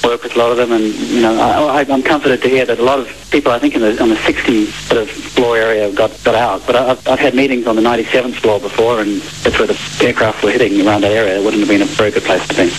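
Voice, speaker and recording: In a slightly noisy radio clip, a man from New Zealand speaks off the cuff as an interviewee. He talks quickly but haltingly, often repeating his words. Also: medium pitch, fairly even intonation. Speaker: interviewee, eyewitness. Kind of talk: unscripted interview remarks.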